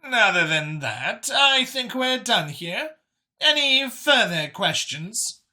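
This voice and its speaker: Guy doing a commanding alien chairwoman for a sci-fi audiobook, really bright, swings up and down, formal and measured. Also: sits high, sing-song, pitched above the performer's own voice, exaggerated voice. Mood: brisk, pleased. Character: composed, commanding female alien council chair.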